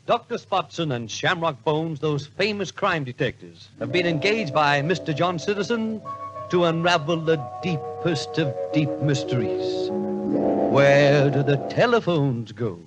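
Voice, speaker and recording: A man's voice: on the high side, expressive, measured pace, muffled recording, over loud music. A man announcing in scripted old-time radio narration.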